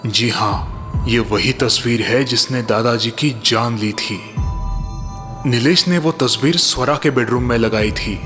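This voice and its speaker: A man's voice: medium pitch, unhurried, fairly bright tone, faint music behind. A man narrating scripted moral and horror stories in a dramatic storytelling style.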